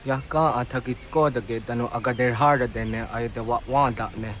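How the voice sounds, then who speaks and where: A man's voice: medium pitch, fairly even intonation, brisk, lightly acted. An actor playing a serious, earnest young village man in a dramatic video game.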